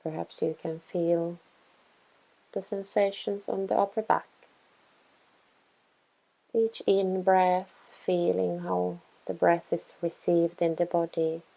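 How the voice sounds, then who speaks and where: Very slow, mellow woman guiding meditation. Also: on the low side, flat delivery, vocal fry, poor audio. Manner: gentle, soothing, unhurried instruction with pauses. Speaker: meditation guide. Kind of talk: guided body scan meditation.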